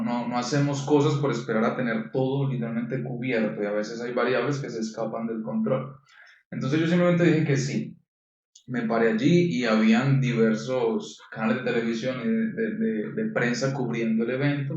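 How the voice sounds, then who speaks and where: A young man from Colombia, a coaching guest in an interview, telling his story in a measured, reflective way with frequent restarts. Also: medium pitch, darkish tone. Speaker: guest, neurolinguistic programming coach. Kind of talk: interview answers. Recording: echoey room, distant dull mic.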